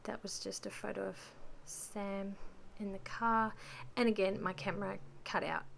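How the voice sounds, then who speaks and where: Croaky-voiced woman, chatty and rambling. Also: mid-range voice, steady tone, moderate pace, fairly bright tone, a little hiss or hum. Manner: chatty, rambling, many ums. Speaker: scrapbooking vlogger. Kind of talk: casual voice-over narration.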